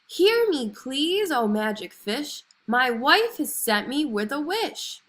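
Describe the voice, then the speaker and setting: A woman's voice: sits high, very animated, slow pace, fairly bright tone, pitched above the performer's own voice, heavily acted. Woman as a meek, henpecked storybook fisherman. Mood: pleading call. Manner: timid, hesitant. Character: meek, henpecked, anxious poor fisherman husband.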